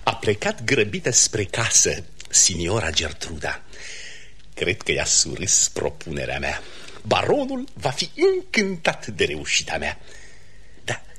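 An actor playing a pompous, meddling count, easily offended and haughty, in a theatrical radio comedy style. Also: male voice, sits low, sharp tone, slightly rough, exaggerated voice.

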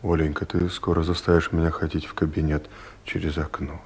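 A husky, courteous office boss. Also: male voice, rumbling low, level tone, fairly quick, a little mellow, heavily acted. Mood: polite, businesslike. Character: suave male office boss, familiar and delicately evasive. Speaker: actor in an audio play. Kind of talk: radio comedy drama acting. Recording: 1970s studio radio play recording.

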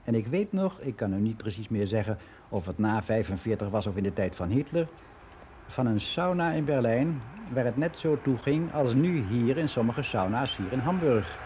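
Actor as mellow older man reminiscing, faint hiss. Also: medium pitch, animated, normal speed, natural voice. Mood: matter-of-fact, reminiscing. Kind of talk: radio play monologue as a personal testimony.

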